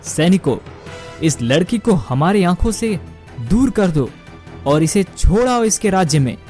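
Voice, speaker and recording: A man's voice: high voice, sing-song, unhurried, darkish tone, soft background music. A man voicing an authoritative king in a cartoon story, formal and regal. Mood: stern.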